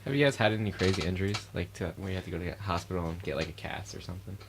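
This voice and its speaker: A man's voice: low-pitched, very fast, noisy background. A young man who works patient transport at a hospital, chatting casually and making blunt jokes. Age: young adult. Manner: joking, blunt. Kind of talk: casual podcast chat.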